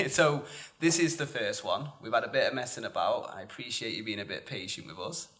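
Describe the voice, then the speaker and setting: A man's voice: medium pitch, moderate pace, pushed and bright. A man hosting a podcast, chatting in an easygoing way and laughing along with his guest. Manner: enthusiastic, salesy in the ad, easygoing when chatting. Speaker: podcast host. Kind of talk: upbeat promo read, then casual interview. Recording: echoey room, faint background noise.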